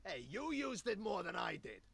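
A man's voice: high voice, big pitch swings, moderate pace, gravelly, a bit bright, slightly rough, heavily acted. A man voicing a grumpy, foul-mouthed, bossy rabbit in his sixties for a cartoon adventure game. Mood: dry, bossy. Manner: sweary, bossy, slangy. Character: grumpy foul-mouthed 63-year-old cartoon rabbit, scheming salesman, male. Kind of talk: voiced game dialogue.

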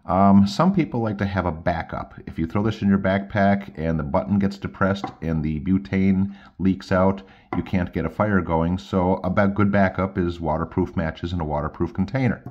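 A man's voice: low voice, fairly even intonation, moderate pace, hardly any pauses. A middle-aged man casually demonstrating and reviewing outdoor gear, recorded indoors at a tabletop with a slightly dull sound.

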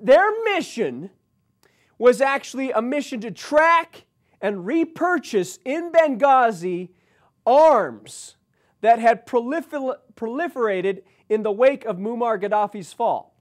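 High-pitched American man, political commentator. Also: lively intonation, slow pace. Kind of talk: political commentary, reading news articles aloud with asides. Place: indoor studio set, seated at a desk.

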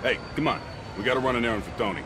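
An actor playing a brisk, dutiful American mob henchman in a video game, talking in casual street slang. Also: mid-range voice, close to an everyday voice. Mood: brisk, matter-of-fact. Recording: loud game music and street sound.

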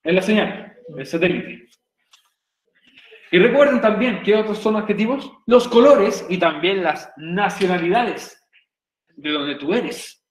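Chilean teacher with a high voice. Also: male voice, a little slow, echoey room, rough recording. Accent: Chilean Spanish. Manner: chatty, jokey, asks "¿cierto?" often. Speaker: English teacher. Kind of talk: online class explanation. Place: home room on a video call.

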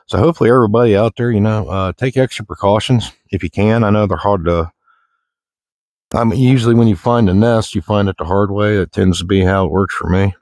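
Deep-voiced folksy American man. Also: fairly quick, a little mellow, dry recording. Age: middle-aged. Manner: folksy, plain-spoken, rambling. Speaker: outdoor vlogger. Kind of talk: casual walk-and-talk chat. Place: outdoors in the woods, walking.

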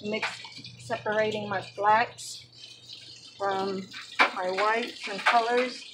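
A young woman films herself on a phone in a cramped home laundry room and explains each step of her wash in an unhurried, step-by-step way. Her voice sits close to the mic. Loud running water from the machine fills the background. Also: medium pitch, fairly even intonation, heavy background noise. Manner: slow, step-by-step explaining while working. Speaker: vlogger. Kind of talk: casual how-to narration. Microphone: handheld phone camera, close. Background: loud running water from the washing machine.

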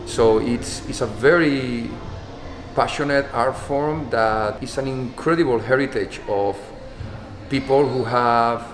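A man's voice: mid-range voice, takes it slowly, slight background noise. A man, speaking thoughtfully in an interview, often repeating words. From Spain. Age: 50s. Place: dance studio, indoors. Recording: echoey hall with music behind.

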